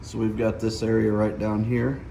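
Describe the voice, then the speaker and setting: A man's voice: on the low side, little pitch movement, moderate pace, vocal fry, mellow, a little hiss or hum. A middle-aged man giving a casual hands-on tutorial, explaining things step by step and checking in as he goes. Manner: explanatory, often checking with "okay?". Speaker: DIY vlogger.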